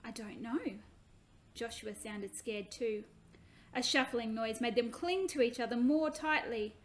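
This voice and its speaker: A woman reading her own children's book aloud in an expressive, animated way. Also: on the high side, measured pace.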